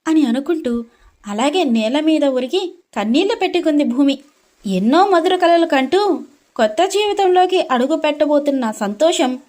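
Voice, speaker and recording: A woman storyteller with a high voice reads a scripted drama. She carries the tale as narrator between the lines of dialogue. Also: darkish tone.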